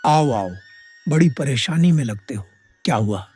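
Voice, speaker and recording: A man's voice: medium pitch, lively intonation, a little slow, a little mellow, breathy edge. A man playing an authoritative, acquisitive village headman who gives orders in a dramatized moral story. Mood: concerned.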